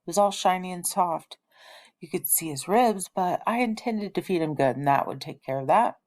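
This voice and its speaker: Low-voiced woman reading a children's novel aloud. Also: normal speed, darkish tone. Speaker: elementary teacher reading aloud.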